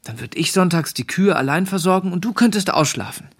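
An actor playing an earnest seventeen-year-old farmhand and hopeful suitor in a naturalistic radio play, plain-spoken and coaxing. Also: male voice, high-pitched, expressive, brisk, slightly rough, heavily acted. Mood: eager, persuading. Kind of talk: naturalistic radio play acting with first-person narration.

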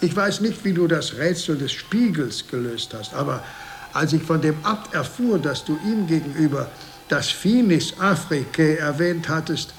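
An actor playing an old, cunning, commanding monk villain in a German radio drama, speaking solemnly and imperiously. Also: male voice, a little high. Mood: grave, calculating.

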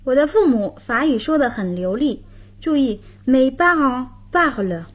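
A woman with a high, slightly husky voice hosts a radio lesson. She explains grammar step by step in a patient teaching tone. She prompts her partner for each practice sentence. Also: expressive, measured pace. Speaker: radio language lesson host. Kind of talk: instructional radio hosting.

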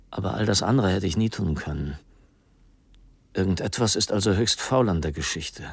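A man's voice: sits low, gravelly, breathy edge, no echo, heavily acted. An actor playing a resigned, frightened man on the run, voicing his farewell letter in a subdued, melancholy way in a radio play. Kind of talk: radio play monologue, letter read in character.

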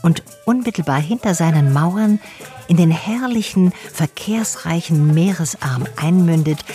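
An actress playing an inquisitive, self-assured investigator in a radio drama, matter-of-fact in both narration and dialogue. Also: female voice, fairly low pitch, lively intonation, a little slow, mellow, soft background music. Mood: absorbed reading.

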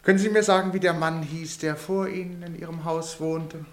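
An actor playing a calm, probing investigator in a German radio drama, asking questions in a courteous, measured way. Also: male voice, on the high side, lightly acted. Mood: politely probing. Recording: echoey room sound.

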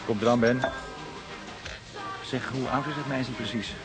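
A man's voice: mid-range voice, steady tone, a little slow, loud music behind the voice, natural voice. An actor playing a chatty, evasive barman in a radio play, talking in hedging, colloquial turns. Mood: curious. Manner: colloquial, hedging, many tag words. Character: chatty, evasive male Amsterdam barman and underworld insider. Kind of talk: Dutch radio play acting.

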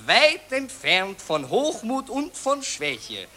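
A man's voice: extremely high pitch, huge pitch swings, slow, bright, forceful tone, heavily acted. An actor playing a pompous male newspaper writer who declaims in a grand, swelling manner. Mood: grandiloquent. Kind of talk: 1960s radio drama acting.

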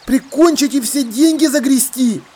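An honest, simple seaman from an adventure audiobook, played by a man. He speaks in a raised, high voice, anxious and insistent, pressing for answers. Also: moderate pace, a little mellow. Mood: horrified. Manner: insistent, questioning. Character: anxious, simple honest sailor demanding the truth. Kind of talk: acted audiobook dialogue.

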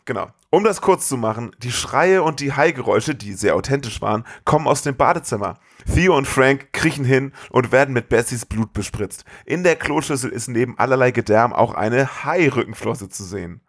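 A man's voice: medium pitch, lively intonation, normal speed, few pauses. A man hosting a podcast, retelling a story in a casual comedic style. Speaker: podcast host.

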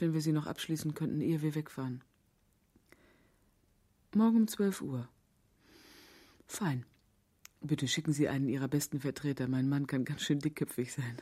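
An actress in a German radio drama playing a cool, wry, calculating wife and accomplice, dry and knowing in manner. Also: female voice, low-pitched, mellow.

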